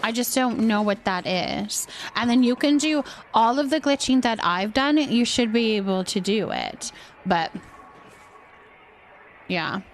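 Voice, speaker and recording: Higher-pitched woman chatting on a game livestream. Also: expressive, measured pace, a little hiss or hum, rough recording. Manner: helpful, explains steps to viewers. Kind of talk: casual livestream chat while playing.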